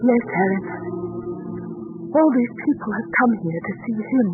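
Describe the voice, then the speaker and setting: A woman's voice: a little high, lively intonation, measured pace, music in the background. An actress playing a devout, anxious mother with earnest, tender delivery in 1940s radio drama style. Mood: steadfast, urging. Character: devout, anxious mother, steadfast in her faith. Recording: noisy, narrow-band 1940s radio recording.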